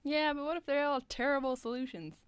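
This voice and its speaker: A woman's voice: high-pitched, fairly quick, no echo. A young woman chatting casually on stage as a musician and co-host, speaking into a stage microphone. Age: young adult. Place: small curtained indoor stage. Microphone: stage microphone on a stand. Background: acoustic guitar.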